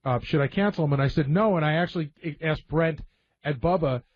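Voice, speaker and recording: Dull lo-fi audio, male radio staffer, fairly high voice, casual storytelling. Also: brisk. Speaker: radio show staff member.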